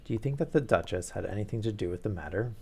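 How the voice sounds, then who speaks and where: A man voicing a calm, polite male detective who speaks in a bland, measured way. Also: fairly low pitch, creaky, husky, exaggerated voice. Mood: bland, probing.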